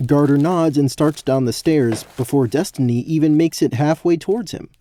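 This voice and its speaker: A man's voice: on the high side, moderate pace, dark tone, a little hiss or hum. A man narrating a scripted fantasy story as the narrator of an audio drama.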